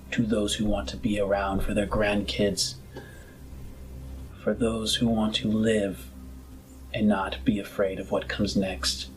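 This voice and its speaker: A man voicing a solemn, visionary politician and former scientist in a tabletop game, speaking in deliberate, philosophical speeches with dramatic pauses. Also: fairly low pitch, rough recording.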